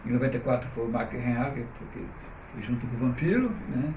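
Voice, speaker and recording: A man's voice: medium pitch, fairly quick, narrow, tinny audio. A middle-aged man, telling stories as an interviewee. His talk is chatty and loose, full of restarts and questions tagged on. The mic sits well away from him in an echoing room, with a light hiss behind him. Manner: chatty, frequent "né?" tags, restarts. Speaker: interviewee, publisher. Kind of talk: relaxed conversational storytelling. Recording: roomy, distant mic, faint noise.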